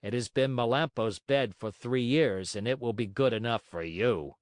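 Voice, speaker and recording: Angry farmer for a children's tale, male reader: stern, rough, menacing, close dry sound. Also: medium pitch, normal speed, no room sound, lightly acted.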